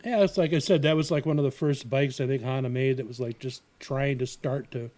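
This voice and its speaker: A man's voice: medium pitch, steady tone, a little fast, darkish tone. A man in his forties co-hosting a podcast, chatting casually with wry, needling remarks and some swearing. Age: 40s. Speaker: podcast co-host. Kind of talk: casual chat.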